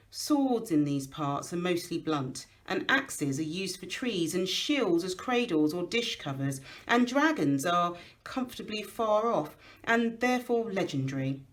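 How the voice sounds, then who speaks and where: A woman voicing a commanding old male wizard, wry and knowing, with emphatic, declamatory delivery in a storybook reading. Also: lowish voice.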